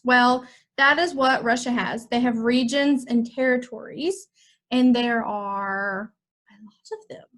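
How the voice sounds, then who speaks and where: A bright, high-pitched young woman teaches a music lesson to kids. She talks in a cheerful, chatty way, as if speaking straight to her young students. She is from the United States. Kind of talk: online music lesson for children.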